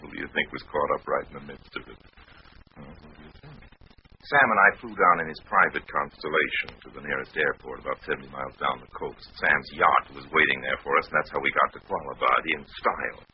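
An actor playing a wry, worldly adventurer, sardonic and casual, in an old-time radio drama. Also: male voice, a bass voice, fairly quick. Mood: wry narration. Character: wry, worldly male adventurer telling his story. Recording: dull, faintly noisy old radio recording.

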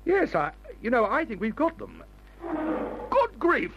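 Nervy young flyer, high husky voice, excitable, old radio drama. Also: male voice, moderate pace, lo-fi sound, full character voice. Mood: alarmed. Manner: worried, hesitant, excitable. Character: anxious, fussy, well-bred young airman, easily alarmed. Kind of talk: old-time radio adventure serial acting.

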